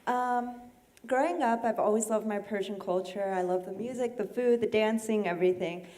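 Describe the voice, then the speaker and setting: A young woman from Iran asking a panel a question, talking quickly with frequent ums. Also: medium pitch, dark tone. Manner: rapid, with frequent um fillers. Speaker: audience member asking a question. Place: auditorium.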